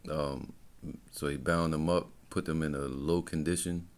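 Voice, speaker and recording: Very deep-voiced American man teaching. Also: steady tone, a little slow, clean tone. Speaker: religious camp teacher and presenter. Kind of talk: informal religious teaching, reading and commenting on an article.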